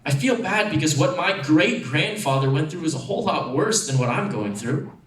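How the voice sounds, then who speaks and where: A man reading a first-person short story aloud as an actor, in an expressive, dramatic way. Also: on the high side, moderate pace. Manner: expressive, acting out dialogue. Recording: echoey room, distant microphone.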